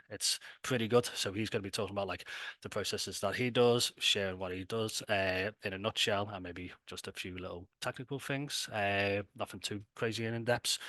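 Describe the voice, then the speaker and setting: A man's voice: lowish voice, bright, forceful tone, no room sound. A man with a Scouse accent hosting an event in a casual, chatty, enthusiastic and colloquial way. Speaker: event host and presenter. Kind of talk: casual, informal event presentation.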